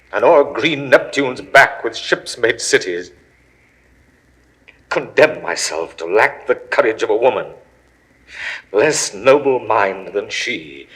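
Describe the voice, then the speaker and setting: An actor playing a despairing middle-aged Roman general in a 1960s verse drama, speaking gravely and slowly, often in hushed whispers, rising to anguished cries. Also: male voice, low-pitched, heavily acted, poor audio. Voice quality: husky, lapsing into hushed whispers. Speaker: stage actor.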